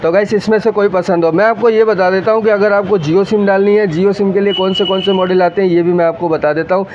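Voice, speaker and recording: A man's voice: high voice, steady tone, quick pace. A man in his thirties casually presenting a product to viewers, explaining it in a repetitive, salesman-like way. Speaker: host of a phone unboxing channel. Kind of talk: casual product demonstration to camera. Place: small mobile phone shop, indoors. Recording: muffled lo-fi audio with background noise.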